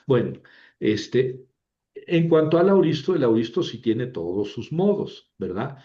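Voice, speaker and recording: Guy teaching, mellow voice, patient, dull lo-fi sound. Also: mid-range voice, big pitch swings, unhurried. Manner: explains patiently, often asks "¿verdad?". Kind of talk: lecture. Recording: dull lo-fi recording.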